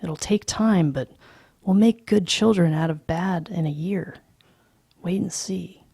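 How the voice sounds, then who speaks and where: A woman reading aloud, voicing a middle-aged male psychologist who gives calm, frank advice. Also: fairly low pitch, gravelly, breathy edge, dry recording, clean studio sound, full character voice. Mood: advising. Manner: measured, frank advising.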